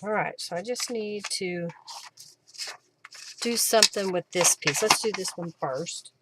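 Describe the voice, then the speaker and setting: A woman's voice: mid-range voice, unhurried, noisy background. A woman hosting a craft livestream, casually talking through each step and thinking aloud as she works. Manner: informal, talks to herself and viewers while working. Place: live stream while working with craft materials.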